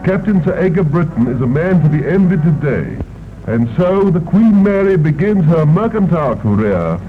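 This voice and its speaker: A man's voice: on the high side, sing-song, moderate pace. A man giving stately, ceremonious 1930s newsreel narration. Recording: tinny old film soundtrack with music.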